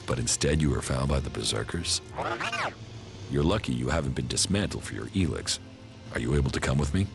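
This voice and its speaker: An actor playing a gruff, cold military commander in a video game, terse and dryly sardonic, with a gravelly voice. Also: male voice, very deep voice, lively intonation, fairly quick, music in the background, exaggerated voice. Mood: curt, demanding. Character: gruff, cold male alien-faction commander, curt and weary.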